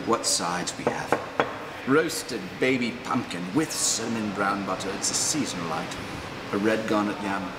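An actor in his forties playing a formal, theatrical waiter in a comedy sketch, reciting grandly with pompous, deliberate delivery. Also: lowish voice. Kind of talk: dramatic reading in a comedy sketch. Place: large restaurant dining room by seaside windows. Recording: roomy, noisy restaurant, distant mic.

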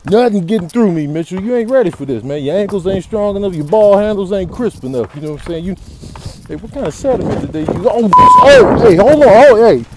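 A performer voicing a cocky male bull who talks excitable, repetitive street-ball trash in a comic animal voiceover. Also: medium pitch, fairly quick, noisy background. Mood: cocky taunting. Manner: excitable, repetitive slangy taunting.

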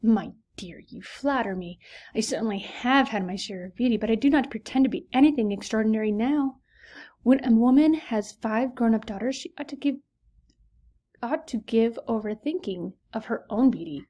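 A woman reading aloud, voicing a fussy, excitable middle-aged mother who exclaims and insists in a raised voice. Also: fairly high pitch, normal speed, natural voice. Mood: insistent.

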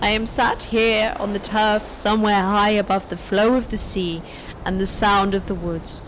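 An actress playing a weary yet defiant elderly woman, delivering a measured, poetic monologue in a literary radio drama. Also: medium pitch, little pitch movement, slight background noise, natural voice, rough recording. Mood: reflective.

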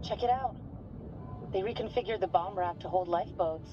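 A woman's voice: mid-range voice, moderate pace, muffled recording, noisy background. A woman playing an eager, curious young diver in a video game, exclaiming through a dive mask intercom filter. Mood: thrilled.

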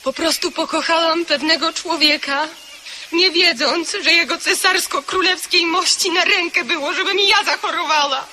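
A woman's voice: very high-pitched, steady tone, normal speed, sharp tone, slight background noise. An actress playing an earnest female welfare inspector in a radio play, pointed and sincere. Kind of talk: 1970s radio drama acting.

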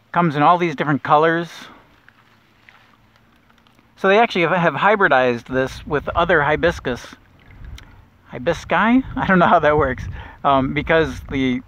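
Middle-aged man explaining plants outdoors. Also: on the high side. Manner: relaxed explaining, thinks aloud with restarts. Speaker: naturalist guide. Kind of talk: outdoor nature walk narration. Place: outdoors on a lake, from a kayak. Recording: dull lo-fi outdoor audio.

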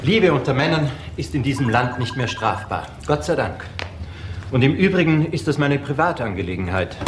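A man's voice: medium pitch, lively intonation, normal speed, close to an everyday voice. Actor as an evasive, polite male suspect, roomy sound. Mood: guarded, defiant. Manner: guarded, courteous. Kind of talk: German crime radio drama acting. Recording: noisy, roomy radio drama recording.

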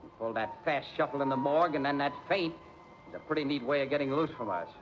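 A muffled old radio recording with faint noise carries a gruff police lieutenant acted by a man. His delivery is bossy and exasperated, with highly animated swings in pitch. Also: quick pace, natural voice. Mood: gruff, impatient. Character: gruff, impatient older male police lieutenant. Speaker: actor. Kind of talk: 1940s radio mystery acting.

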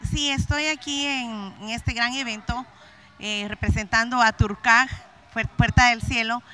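A woman's voice: on the high side, fairly even intonation, a little slow, pushed and bright, soft background music. A middle-aged woman from Guatemala, a craft vendor, speaks nervously with many fillers and repeats into a handheld mic at an indoor event. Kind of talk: interview.

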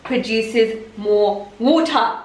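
A woman's voice: fairly high pitch, very slow, darkish tone, a little hiss or hum. A young woman, chatting casually and explaining steps as she cooks in her home kitchen. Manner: chatty, instructive, playful. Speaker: vlogger cooking. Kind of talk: casual cooking vlog. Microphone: camera mic from across the kitchen. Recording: roomy kitchen echo, distant camera, sizzling pan. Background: frying and stirring sounds.

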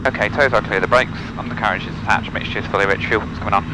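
A man's voice: fairly low pitch, fairly even intonation, racing. A man piloting a small plane, switching between clipped radio calls and casual, matter-of-fact chat. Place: small aircraft cockpit in flight. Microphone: cockpit audio recorder on intercom. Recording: loud aircraft engine and cockpit noise.

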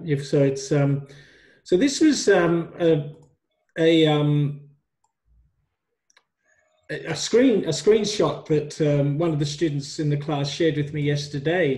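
A middle-aged man teaching a university workshop over a video call on a headset, relaxed and hesitant with many ums. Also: a little high. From migrant to Australia. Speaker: university tutor.

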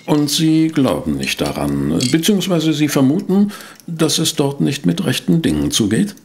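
A man's voice: fairly high pitch, sing-song, normal speed, vocal fry, slight background noise. An actor playing a polite, wry, inquisitive newspaper reporter who narrates his detective adventures in a German audio drama.

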